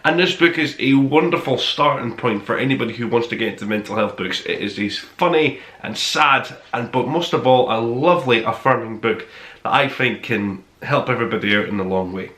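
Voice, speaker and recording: A man's voice: mid-range voice, a little fast, a bit bright, roomy sound. A man presenting a review podcast as its host, talking conversationally and emphatically to his listeners with enthusiasm. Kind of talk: conversational top-five review monologue to listeners.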